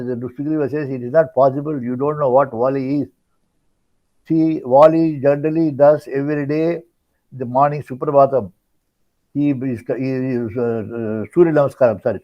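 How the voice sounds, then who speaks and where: Older male spiritual teacher, Indian accent, mid-pitched voice, halting and repetitive religious storytelling, captured in dull lo-fi audio. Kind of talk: informal religious discourse retelling an epic.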